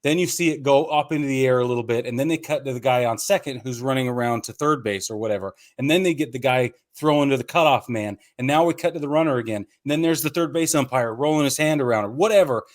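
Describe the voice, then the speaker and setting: A man's voice: medium pitch, fast talker, few pauses. Opinionated male sports podcast co-host. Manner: opinionated, explanatory, builds an argument point by point. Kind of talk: casual sports podcast discussion.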